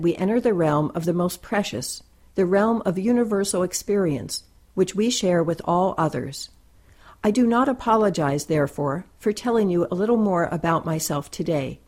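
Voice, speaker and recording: A woman reading a first-person essay aloud in a measured, deliberate and reflective way. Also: lowish voice, dark tone. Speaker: reader.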